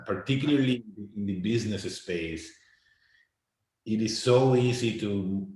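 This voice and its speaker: A man in his forties from Costa Rica, a guest answering interview questions in a halting way, often repeating his words. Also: sits low. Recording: very echoey room.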